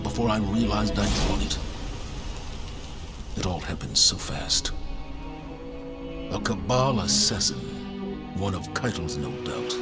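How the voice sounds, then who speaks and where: An actor playing a grave, stoic but shaken military commander, solemnly recounting in a video game cutscene. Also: male voice, on the low side. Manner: solemn, haunted, deliberate recounting.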